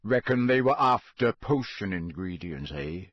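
Gruff old storybook dark-wizard hunter played by a man: growling, suspicious, menacing, slow and needling. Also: on the low side, expressive, dry recording, studio quality, pitched below the performer's own voice.

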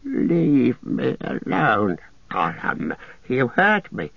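A pitiful, half-mad creature whines and shrieks, leaping wildly between high and low. The voice is breathy and drawn out. It sits in a dull, dry recording. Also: male voice, huge pitch swings, drawn-out words, no echo, heavily acted. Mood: sobbing desperate. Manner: whimpering, shrieking, hissing. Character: wretched creature, split-minded, whining and hissing.

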